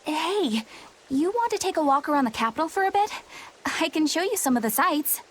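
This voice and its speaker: An actress playing a polite, earnest young woman in a game, expressive and easily flustered. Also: high-pitched, a little fast, a little hiss or hum. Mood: awkward, earnest.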